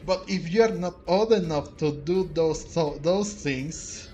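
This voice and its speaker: High-pitched, halting male gaming streamer. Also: mellow, slight background noise. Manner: halting, repeats words as he searches for phrasing. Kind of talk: casual let's play commentary.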